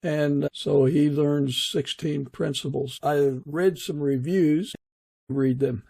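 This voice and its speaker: A man hosting a podcast, interviewing casually in an unhurried, halting way. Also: a little high, steady tone, vocal fry, darkish tone, slightly rough, no echo. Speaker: podcast host.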